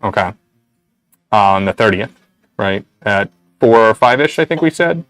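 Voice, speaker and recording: A man's voice: lowish voice, expressive, a little slow, fairly bright tone, no room sound. A young man with a nasal voice, hosting a casual shop livestream and rambling through giveaway details with a lot of "right?".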